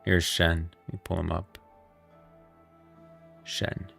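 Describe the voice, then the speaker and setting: A man's voice: deep voice, flat delivery, slow, vocal fry, fairly bright tone, faint music behind. A man giving casual, opinionated live commentary on game lore as a streamer, often repeating and rephrasing his points.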